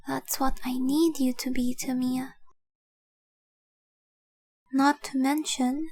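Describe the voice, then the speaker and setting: A high, slow voice of a woman playing a young dragon girl in a romantic audio roleplay. She is devoted and tenderly possessive, speaking with intimate, earnest care and keeping her melody very even. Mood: earnest, pleading. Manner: earnest, intimate, deliberate, with breathy whispered phrases.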